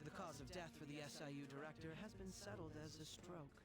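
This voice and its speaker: A man plays a polished, self-assured young schemer in a video game. His voice is on the high side and formal, set against very loud music. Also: a little fast, natural voice. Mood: businesslike. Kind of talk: dramatic video game voice acting.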